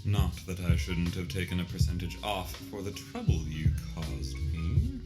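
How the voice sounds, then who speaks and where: An actor playing a suave, pompous corporate officer in an audio drama, shrewd and dry, with formal, polished, wordy speech. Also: male voice, deep voice, sing-song, moderate pace. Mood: wry. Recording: loud music bed under voice.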